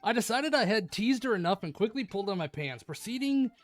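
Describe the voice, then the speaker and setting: The animated voice you would hear on a late-night game stream, reading story text with crude asides while music plays. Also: medium pitch, a little fast. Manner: crude joking, laughs at own ad-libs. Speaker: streamer reading a visual novel aloud. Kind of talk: live comedic read-along with ad-libs.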